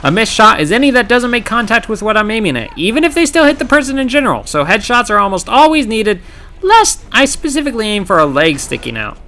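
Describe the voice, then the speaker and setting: A man narrating his own gameplay challenge in scripted voice-over, wry and sarcastic with playful dramatic pauses. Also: high-pitched, sing-song, sharp tone. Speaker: gaming channel host. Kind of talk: scripted comedic game commentary narration.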